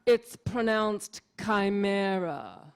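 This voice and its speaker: A woman's voice: medium pitch, extremely slow, clear, resonant tone. A woman playing a pretentious, brooding painter in a radio comedy sketch, with a pompous, dramatic, self-serious manner. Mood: condescending. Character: pretentious, brooding avant-garde woman painter named Chimera.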